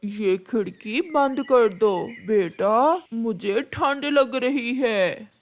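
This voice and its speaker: A woman voicing a sick, gentle old father crow in a cartoon story, in a plaintive, drawn-out way. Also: on the high side. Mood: weary, frail. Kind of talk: Hindi cartoon story acting.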